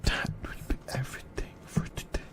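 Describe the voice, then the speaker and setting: A man whispers throughout in a breathy, unvoiced hush. He hosts in an informal ASMR style, talking straight to his viewers like a fellow fan giving casual commentary. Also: fairly quick. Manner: informal, addresses viewers directly. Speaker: ASMR video host.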